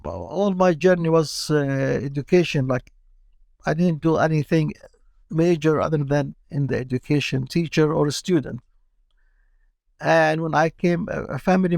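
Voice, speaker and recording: A middle-aged man from Palestine, a teacher speaking as a guest in a casual interview, halting and often repeating his words. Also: on the high side, gravelly, a little mellow, no echo.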